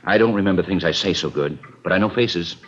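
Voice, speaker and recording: An actor playing a muddled, tippling hotel desk clerk in a 1950s radio drama, rambling and repeating himself absent-mindedly. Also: male voice, deep voice, fast, breathy edge. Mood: rambling, befuddled. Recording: dull vintage radio recording.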